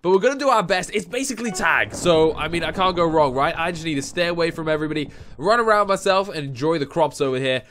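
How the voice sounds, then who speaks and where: A young man with a nasal, stuffy voice from a cold, giving excitable Minecraft commentary on a headset at home. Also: fairly high pitch, fast, few pauses, a little hiss or hum. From England. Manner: excitable, yelps and shouts when chased. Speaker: gaming YouTuber. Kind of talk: Minecraft let's play commentary. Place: home room.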